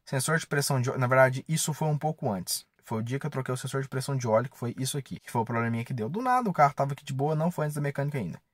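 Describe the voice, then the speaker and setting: A man's voice: mid-range voice, expressive, rapid-fire, few pauses, slightly rough, no room sound, clean studio sound. A man, chatting casually and slangily as a car vlogger. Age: 20s. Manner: informal, slangy, mildly profane. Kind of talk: casual vlog chat. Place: inside a parked car. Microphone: camera or phone at arm's length.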